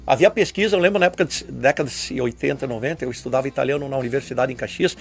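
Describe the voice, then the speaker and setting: A man's voice: mid-range voice, racing, vocal fry. An older man from Brazil, explaining history on a radio program in a lecturing way, often ending points with tag questions. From southern Brazil. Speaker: guest historian.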